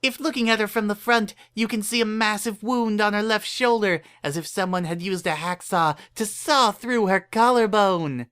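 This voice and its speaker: A high, girlish cartoon filly, played by a woman spins a spooky tale with theatrical relish. She is a bossy young pony who wants to be heard out. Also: moderate pace, few pauses, fairly bright tone, smooth, lightly acted. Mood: spooky, insistent.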